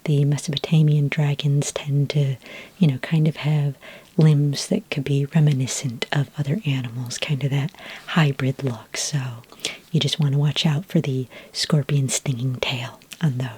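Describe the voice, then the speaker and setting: A woman playing a patient, helpful fantasy dragon ranch expert in a whispered, breathy, hesitant ASMR roleplay. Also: low voice, warm tone, exaggerated voice. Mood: gentle, helpful. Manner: hesitant, unhurried explaining, frequent ums.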